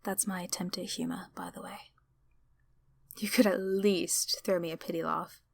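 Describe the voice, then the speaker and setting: A woman playing a gentle, apologetic sleep paralysis demon in an ASMR roleplay, soft-spoken and often whispering reassurance. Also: fairly low pitch, fairly even intonation, normal speed, creaky. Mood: wry, coaxing. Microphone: close microphone.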